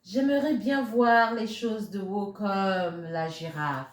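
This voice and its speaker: A woman voicing a small, wistful picture-book chameleon in a wishful, drawn-out way. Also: mid-range voice, natural voice. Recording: roomy, echoey sound.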